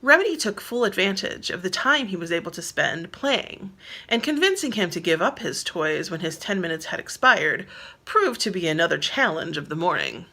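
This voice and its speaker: A woman's voice: on the low side, big pitch swings, moderate pace, pushed and bright. A woman reading her own novel aloud as narrator, in scripted storybook style.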